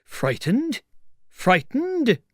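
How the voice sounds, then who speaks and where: Guy doing a bewildered old-time tramp, grumbly and jumpy, voice way up high, storybook style. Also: very animated, no echo, clean studio sound. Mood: alarmed, bewildered. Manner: exclamatory, plaintive, drawn-out emphasis. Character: frightened, grumbling, bewildered middle-aged male tramp.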